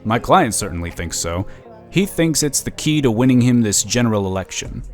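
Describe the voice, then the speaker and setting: An actor playing a slick, smug lobbyist in a sci-fi audio drama, chatty and condescending. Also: male voice, mid-range voice, big pitch swings, normal speed, darkish tone, soft background music, natural voice. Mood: breezy, self-satisfied. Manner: chatty, smooth-talking, condescending. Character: smug, slick, scheming male political lobbyist.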